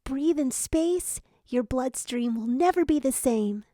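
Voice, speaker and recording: A woman voicing a gentle, serene female spirit with a mystical, solemn manner. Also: high voice, lively intonation, slow pace, dry recording, clean studio sound, pitched above the performer's own voice.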